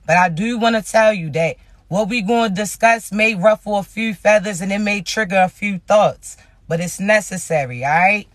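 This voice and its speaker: A man with a loud, raspy voice giving a casual spiritual message to his viewers in an emphatic, slow, preaching style. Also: sits high, dry recording.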